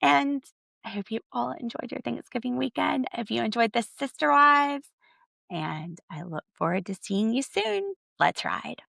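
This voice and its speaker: A woman's voice: a little high, lively intonation, measured pace, gravelly, fairly bright tone, dry recording, clean studio sound. A woman hosting a podcast, giving a sarcastic, sassy recap of a reality TV episode. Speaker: podcast host.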